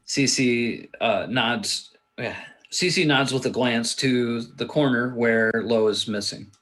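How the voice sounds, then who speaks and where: A man's voice: medium pitch, takes it slowly, fairly bright tone. A man reading scripted action narration aloud at a table read. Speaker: stage directions reader.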